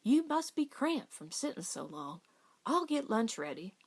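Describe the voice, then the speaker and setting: A woman's voice: on the high side, sing-song, measured pace, slightly rough, exaggerated voice. Woman reading as a plain-spoken young ranch woman. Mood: friendly. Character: friendly, matter-of-fact young ranch woman. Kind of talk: audiobook character voice.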